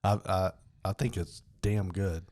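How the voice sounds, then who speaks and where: A man's voice: low voice, fairly even intonation, unhurried, darkish tone. A man with a Southern US accent co-hosting a casual podcast chat, talking in a laid-back, drawling, soothing way. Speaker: podcast co-host. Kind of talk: casual chat over bourbon.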